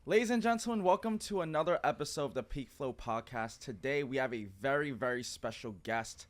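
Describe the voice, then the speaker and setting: A man's voice: medium pitch, brisk. A man hosting a podcast, opening the show upbeat and asking his guest curious follow-up questions. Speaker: podcast host. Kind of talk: casual podcast chat.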